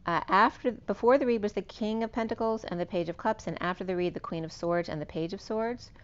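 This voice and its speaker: A woman with a hoarse, fading voice giving an unscripted spiritual tarot reading, meandering and correcting herself as she lists cards and numbers. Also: mid-range voice, a little fast, lo-fi sound. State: losing her voice. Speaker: tarot reader.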